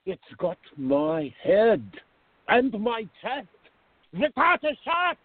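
A man playing an exasperated, excitable, easily offended friend in a radio drama, complaining with indignant, drawn-out emphasis. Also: high voice, no echo, heavily acted. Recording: thin, phone-like band-limited audio.

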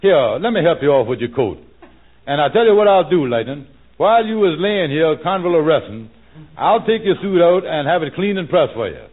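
An actor playing a scheming, smooth-talking lodge leader in a folksy, wheedling, mock-solicitous manner, in 1940s radio comedy style. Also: male voice, fairly high pitch, big pitch swings, normal speed. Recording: narrow, tinny vintage radio recording.

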